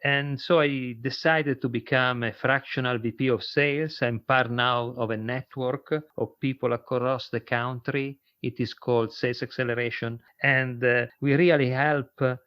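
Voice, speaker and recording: A man in his fifties with an Italian accent, speaking as a podcast guest and pausing often with fillers as he talks. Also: mid-range voice, steady tone, no echo, muffled recording. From Italy. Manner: hesitant, frequent uh fillers and repeats. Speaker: guest, sales consultant. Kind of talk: podcast interview.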